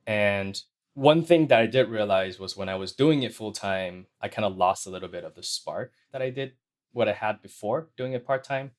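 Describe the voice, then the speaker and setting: Soft-spoken low-voiced male guest. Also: moderate pace. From China. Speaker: guest, sound designer. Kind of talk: interview answers.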